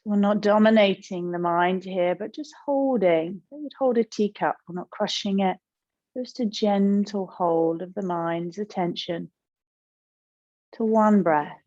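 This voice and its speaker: A woman leads a guided meditation in a calm, gentle manner. Her mid-range voice moves without hurry, pausing between short instructions. The recording is a little dull and lacks crispness. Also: mid-range voice. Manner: gentle, calm, unhurried with pauses. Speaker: yoga and meditation teacher.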